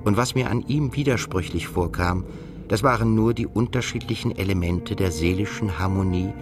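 Radio drama lead, played by a man: introspective journalist-narrator, deep-voiced, thoughtful and measured, over prominent music. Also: natural voice. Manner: reflective, measured. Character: brooding introspective male journalist telling his story. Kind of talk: literary radio drama, first-person narration.

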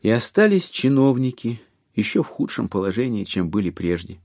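A man narrating a literary audio drama, with expressive, ironic and deliberate phrasing. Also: medium pitch, dry recording, clean studio sound.

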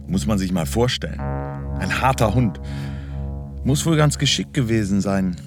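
Radio drama role: plain-spoken timber man reminiscing bluntly, fairly low pitch, music underneath. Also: expressive, a little slow. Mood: admiring, reflective. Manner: blunt, colloquial. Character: plain-spoken sawmill man fondly admiring a younger carpenter.

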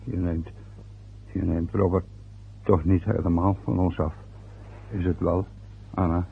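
An actor playing a fretful, rambling father turning sixty in an old radio play, with a husky voice. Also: low voice, flat delivery, a little slow, heavily acted. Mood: musing. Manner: rambling, loses his thread. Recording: phone-like lo-fi old radio recording.

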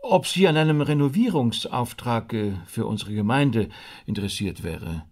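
An actor playing an earnest, awkward rabbi turned amateur investigator in a German radio drama, polite and a little hesitant. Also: male voice, mid-range voice, animated, normal speed, darkish tone.

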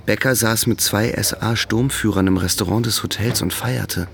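An actor narrating a literary radio play in a measured, matter-of-fact storytelling manner. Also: male voice, lowish voice, gravelly.

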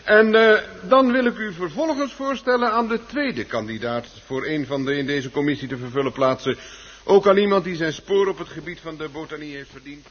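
A man's voice: a little high, fairly quick, fairly bright tone, natural voice. An actor playing a pompous, ceremonious chairman of a gardening club in a Dutch radio play, wordy and oratorical. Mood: pompous, solemn. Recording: muffled, lo-fi old radio recording.

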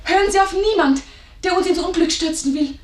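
High-voiced defiant daughter, echoing. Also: female voice, a little fast. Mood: indignant. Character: defensive, proud daughter of a strict mother. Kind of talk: radio drama acting. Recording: echoey, distant from microphone.

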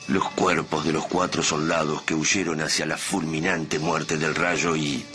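A man's voice: a bass voice, flat delivery, a little slow, bright, forceful tone, faint music behind, full character voice. A man with an Argentine accent giving solemn, dramatic narration for an apocalyptic radio play. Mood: grave. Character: grave male narrator of an apocalyptic tale.

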